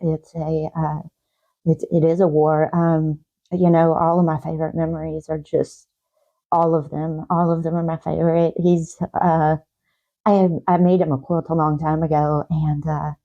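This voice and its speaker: A middle-aged woman giving personal answers as a talk show guest, in a halting, reflective and earnest way. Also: sits low, flat delivery, phone-quality sound. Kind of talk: personal, conversational interview answers.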